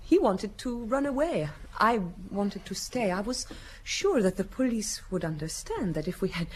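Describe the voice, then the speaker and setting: A woman's voice: medium pitch, very animated, a little hiss or hum, lightly acted. A woman playing a hesitant, enigmatic lady suspect. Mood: uneasy. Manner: hesitant, formal phrasing with deliberate pauses. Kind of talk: audio drama dialogue.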